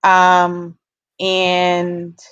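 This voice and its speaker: A woman giving an informal community talk on a video call, pausing often with hesitant ums. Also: lowish voice, monotone, fairly bright tone, clear, resonant tone, dry recording, lo-fi sound. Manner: hesitant, frequent pauses and ums. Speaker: panelist giving public health talk.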